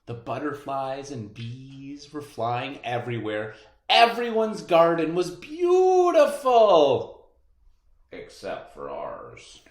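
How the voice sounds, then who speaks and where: An expressive co-reader takes a children's story slowly and with emphasis. The pitch moves up and down a great deal, and chatty comments break into the reading. The room adds noticeable echo. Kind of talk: read-aloud of a children's picture book with side comments.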